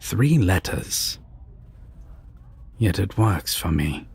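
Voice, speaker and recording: A man playing a sinister, possessive vampire villain in a roleplay audio drama, taunting and deliberate with a breathy, gravelly half-whisper. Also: low-pitched, very animated, a little mellow, heavily acted. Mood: smug, seductive. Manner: slow, deliberate, taunting, with long pauses.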